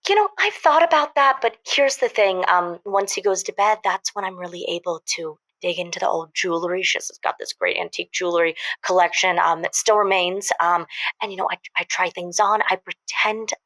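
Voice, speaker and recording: A woman playing an infatuated caller who confesses in an earnest, halting, dreamy way on a comedic call-in show. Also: on the low side, fairly bright tone, close to an everyday voice, poor audio. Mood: confiding. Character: besotted, confessional woman caller obsessed with a dead rival.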